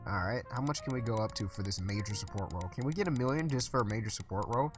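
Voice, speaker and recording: A man's voice: fairly low pitch, rapid-fire, over music. A young man with a stuffy voice casually commenting while playing a management game, thinking aloud with lots of fillers. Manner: chatty, thinks aloud with frequent uh and restarts. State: stuffy, recovering from illness. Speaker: let's play host.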